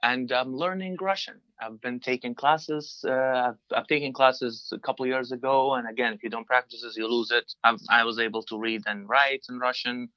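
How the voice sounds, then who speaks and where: A man in his twenties from Libya, a native Arabic speaker, answering interview questions in a halting way with many restarts. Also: medium pitch, steady tone, pushed and bright, clear, resonant tone, dry recording, dull audio. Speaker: guest, design engineer.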